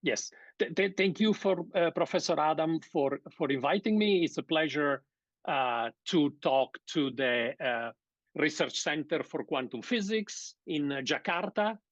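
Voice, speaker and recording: A middle-aged man from Sardinia with an Italian accent, giving an academic seminar over a video call in a hesitant, measured way. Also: a little high, expressive, no room sound. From Sardinia, Italy. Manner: measured, hesitant, many fillers and restarts. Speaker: guest lecturer. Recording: dull, dry video-call audio.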